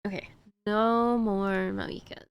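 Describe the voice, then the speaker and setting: A woman's voice: medium pitch, fairly even intonation, takes it slowly, creaky, clean tone. A woman streamer giving casual commentary on her gameplay, talking to herself as she works through puzzles. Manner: muttering to herself while solving puzzles.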